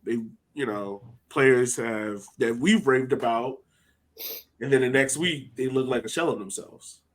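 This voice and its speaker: A man's voice: mid-range voice, measured pace. Man giving opinions in casual chat. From United States. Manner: opinionated, conversational. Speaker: podcast host.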